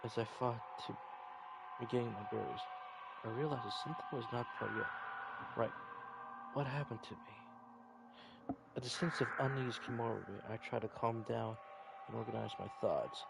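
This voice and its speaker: A man's voice: mid-range voice, a little fast, gravelly, very noisy. A man reading a visual novel game aloud in a dramatic way, with offhand reactions of his own. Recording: game music behind the voice. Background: game music.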